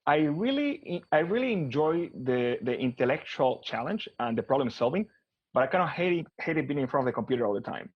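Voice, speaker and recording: A man's voice: a little high, big pitch swings, fairly quick, husky, no room sound. Argentine-accented man, dry and dull-sounding. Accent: Argentine Spanish. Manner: frequent restarts and repeated words, conversational. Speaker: guest, business consultant. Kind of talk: casual interview conversation.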